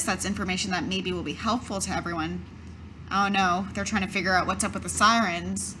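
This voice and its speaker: A woman playing a tabletop game, speaking in character in a conversational, halting way. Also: mid-range voice, a bit bright, a little hiss or hum, poor audio. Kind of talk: improvised in-character roleplay in own voice.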